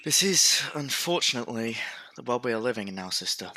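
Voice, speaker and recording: A man playing a grim, blunt young Victorian son, earnest and forceful, in a period audio drama. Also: medium pitch, animated, fairly quick, poor audio. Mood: grim, insistent.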